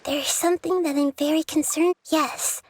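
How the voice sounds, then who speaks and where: A woman voicing a gentle, wise young girl deity in a video game, soft, girlish, earnest and reflective. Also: high voice, steady tone, normal speed, lightly acted. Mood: thoughtful, concerned.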